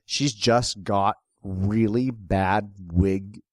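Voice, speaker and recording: A man's voice: lowish voice, fairly even intonation, drawn-out words, darkish tone, dry recording, clean studio sound. A man co-hosting a film podcast, chatting casually in a rambling, anecdotal way. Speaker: podcast co-host. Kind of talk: casual conversational chat about a film.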